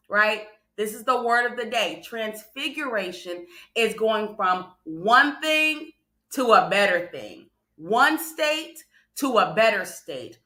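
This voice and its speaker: Bright, emphatic woman preacher in a roomy space. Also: mid-range voice. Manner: emphatic, repeats phrases, checks in with listeners. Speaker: guest preacher hosting a morning livestream. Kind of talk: motivational sermon to a live online audience. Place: indoor room on a livestream. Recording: roomy, echoing livestream audio.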